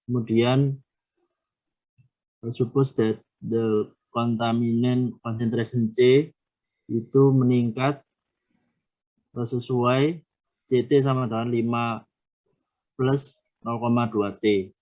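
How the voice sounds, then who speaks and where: A young man presenting his worked solution in an online class, halting and filling pauses with hesitations. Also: medium pitch, fairly even intonation, a little mellow, highs cut off. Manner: halting, many filler hesitations.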